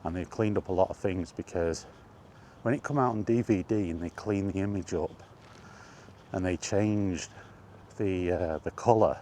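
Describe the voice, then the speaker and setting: A man chatting casually on his own, enthusiastic but halting, with frequent ums and restarts. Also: low-pitched, dark tone, a little hiss or hum. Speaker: vlogger. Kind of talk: casual rambling monologue. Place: outdoors, walking.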